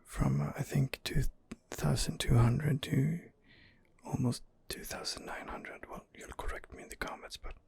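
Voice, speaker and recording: A man's voice: mid-range voice, fairly even intonation, measured pace, creaky, a little mellow, breathy edge. A man murmuring hesitantly as he hosts an ASMR gaming role-play, thinking aloud close to the microphone. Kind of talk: whispered ASMR role-play gameplay narration.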